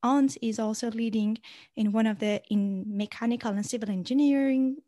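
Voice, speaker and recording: A young woman from Iran, a guest in an interview, speaking natively and answering in a halting way with many fillers and restarts. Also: on the high side, mellow, no echo, clean studio sound. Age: young adult.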